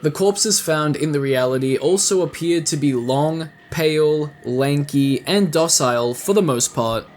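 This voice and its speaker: Man, slightly high voice, scripted explainer narration, casual asides, music underneath. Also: unhurried, few pauses, darkish tone. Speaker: video essay narrator. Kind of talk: scripted narration, fan commentary on monsters.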